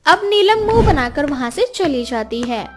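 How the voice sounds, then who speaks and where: A woman's voice: sits high, big pitch swings, normal speed, a little hiss or hum. A woman narrating a scripted moral story as a storyteller.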